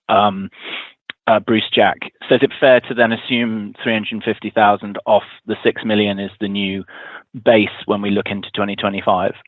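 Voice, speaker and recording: A man's voice: lowish voice, no echo. A man speaking as a financial analyst on an earnings call, hesitant and restarting as he puts his question. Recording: dry phone-like line.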